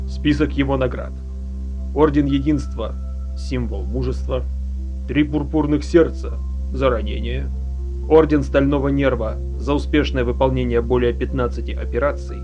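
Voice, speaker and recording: A man's voice: mid-range voice, darkish tone. A man reading scripted documentary narration in a formal style.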